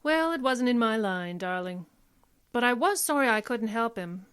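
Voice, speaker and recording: A woman's voice: fairly high pitch, lively intonation, moderate pace, fairly bright tone, clear, resonant tone. A woman playing a composed, kindly, good-humored lawyer in an old-time style radio play. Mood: sympathetic.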